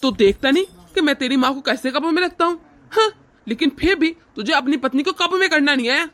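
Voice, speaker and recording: A woman's voice: high-pitched, expressive, fast talker, a bit bright. A woman voicing a harsh, domineering older man in a cartoon family drama, scornful and threatening. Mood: contemptuous. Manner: scornful, threatening, barking orders.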